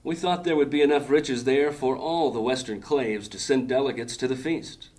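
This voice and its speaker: A man's voice: medium pitch, normal speed, darkish tone, close to an everyday voice. An actor playing a formal, proud male leader of a thieves' gang in an audio drama, speaking ceremoniously.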